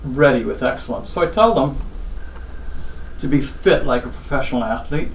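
A middle-aged man from Guyana, a surgeon giving advice in an interview in measured, deliberate short phrases with pauses. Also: medium pitch, expressive. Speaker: spine surgeon, interview guest. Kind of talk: interview answers, health advice. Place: small office, seated at desk. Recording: roomy, distant mic, faint background noise.

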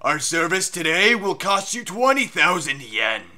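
A man voicing a smug, menacing high-school bully in an anime fandub, with mock politeness. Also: big pitch swings, a little slow, bright, forceful tone, full character voice. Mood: smug threatening.

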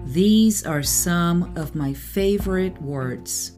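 A woman's voice: fairly low pitch, takes it slowly, warm tone, faint music behind. A woman who speaks Portuguese natively, hosting a solo podcast and explaining things with careful enunciation. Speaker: podcast host; translator.

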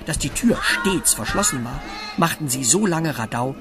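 Casting: children's audio-drama narrator, lively intonation, prominent music bed. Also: medium pitch, moderate pace. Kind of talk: scripted children's story narration.

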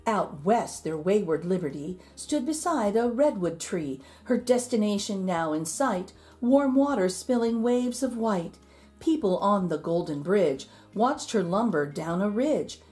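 Woman reading a storybook, mellow and lively. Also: medium pitch, a little slow, faint music behind. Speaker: storybook reader. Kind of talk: expressive read-aloud of a rhyming children's picture book.

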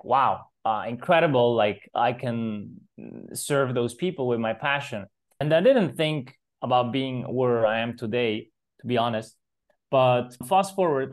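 A young man from Switzerland, a fitness coach, answering interview questions over a video call in an earnest, explanatory way. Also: mid-range voice. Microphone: video call webcam with earphones.

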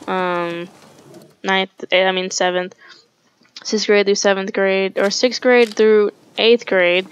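A woman's voice: mid-range voice, slight background noise. A young woman gaming streamer telling a rambling story as she plays, swearing and correcting herself. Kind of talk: casual storytelling over gameplay. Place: home room, webcam. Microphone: headset.

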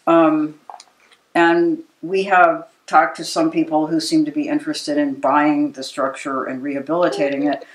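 An American woman in her sixties explaining things in a measured way during a conversational interview as a local preservation advocate. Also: deep voice, level tone, a little hiss or hum. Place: living room with books, indoors. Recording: roomy, echoey living room.

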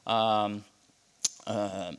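A man's voice: lowish voice, level tone, drawn-out words, fairly bright tone, clear, resonant tone. French guy at a podium mic, talks in fits and starts, lots of uhs, keynote in a lecture hall. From France. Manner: hesitant, many fillers and restarts. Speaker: keynote speaker. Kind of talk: conference talk with slides. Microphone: podium microphone.

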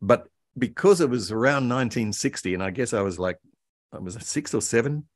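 An older man around seventy, reminiscing in an interview in a halting way, repeating words as he thinks. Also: mid-range voice, expressive, dry recording, clean studio sound. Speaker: guest expert, author and voice actor. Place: indoor room by a window, video call.

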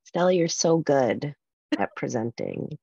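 Creaky-voiced woman, lively pitch, casual. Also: measured pace, dry recording. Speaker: conference presenter. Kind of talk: casual chat on a video call. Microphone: video call. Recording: dull video-call audio.

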